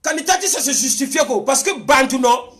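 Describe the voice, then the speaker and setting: High-pitched, emphatic Congolese man. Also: expressive, brisk, fairly bright tone. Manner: emphatic, insistent, repetitive. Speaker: political commentator. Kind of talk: impassioned political monologue to camera, mixing languages.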